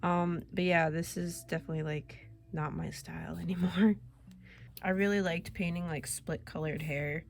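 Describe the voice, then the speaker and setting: A woman's voice: fairly low pitch, steady tone, moderate pace, gravelly. Chatty young woman over a cold. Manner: chatty, rambling, laughs often. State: recovering from a cold. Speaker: artist vlogger. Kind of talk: casual chat.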